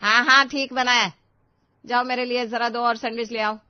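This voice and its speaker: A woman's voice: a little high, steady tone, normal speed, dry recording, dull audio, natural voice. A woman voicing a domineering middle-aged Indian mother-in-law, exasperated and dramatic in a family story. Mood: bossy.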